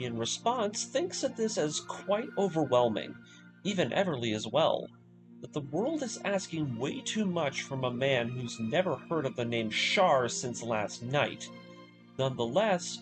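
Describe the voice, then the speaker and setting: A man's voice: on the high side, low-quality recording. A man narrating a scripted story recap in a dramatic storytelling manner, as in a fan video essay. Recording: voice over background music. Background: music.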